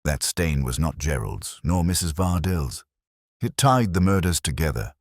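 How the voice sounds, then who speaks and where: A man voicing a commanding, shrewd detective in an audiobook, speaking in deliberate, authoritative statements. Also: a bass voice, very animated, dry recording, clean studio sound, heavily acted. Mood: accusing, forceful.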